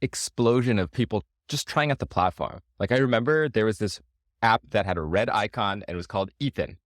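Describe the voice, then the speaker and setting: A man chatting casually about tech as a podcast co-host, thoughtful and halting in his phrasing. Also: fairly low pitch, no room sound, clean studio sound.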